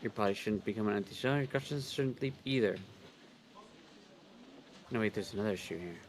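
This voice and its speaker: Fast-talking low-voiced man, slightly noisy audio. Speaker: co-reader on a game livestream. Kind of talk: visual novel readthrough with casual commentary.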